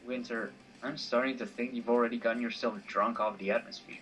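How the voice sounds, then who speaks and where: A man voicing a calm, dry-witted, worldly male companion in a visual novel, speaking in a measured, understated way. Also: mid-range voice, a little hiss or hum, lightly acted, low-quality recording. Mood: bemused. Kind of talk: amateur visual novel voice acting. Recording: faint music under the voice. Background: faint music.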